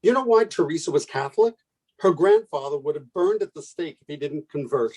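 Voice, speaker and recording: A man's voice: a little high, lively intonation, a little fast, a little mellow, slightly rough. An actor playing a troubled man in a radio play, earnest and challenging as he argues. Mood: concerned, challenging. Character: troubled man arguing against a loved one's conversion.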